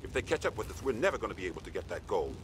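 An actor playing a gruff, weary army sergeant in a video game, curt and commanding with his squad. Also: on the low side, sing-song, rapid-fire. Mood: grim, resigned. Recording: loud battle and vehicle noise behind.

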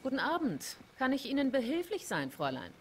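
Lively-pitched, courteous military secretary. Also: female voice, fairly quick, a little hiss or hum. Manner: formal, helpful. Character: courteous young female German military secretary. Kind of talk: cinematic war game acting.

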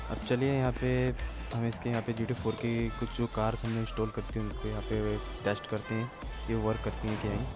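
A man's voice: fairly low pitch, monotone, fairly quick. A man with an Indian accent narrating a casual gaming tutorial step by step, mixing in English words and repeating himself. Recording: loud music behind the voice. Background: loud music.

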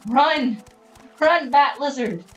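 A woman co-hosting a game let's play in casual chat, a little stuffed up, with excitable bursts. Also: high-pitched, slow pace, a little hiss or hum. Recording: game music underneath.

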